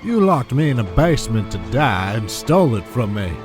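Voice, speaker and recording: An actor plays a devious, bitter man in an audio drama. His mid-pitched voice is indignant and swings widely over prominent music. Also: big pitch swings, normal speed, close to an everyday voice. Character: Vernon, devious bitter male schemer.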